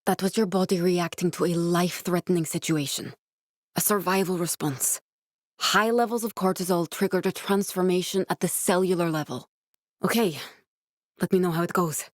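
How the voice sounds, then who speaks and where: An actress playing an earnest, guarded woman scientist in a video game, speaking in a measured, serious, explanatory way with naturalistic delivery. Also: lowish voice, steady tone, no room sound, clean studio sound, natural voice. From born in Norway, raised in Glasgow (character).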